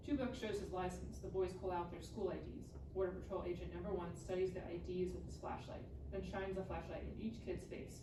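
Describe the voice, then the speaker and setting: A woman's voice: lowish voice, steady tone, a little fast, hardly any pauses. Echoey, distant woman reading stage directions. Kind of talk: scripted narration at live table read. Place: live reading in a room. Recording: echoey room, distant mic, clear noise.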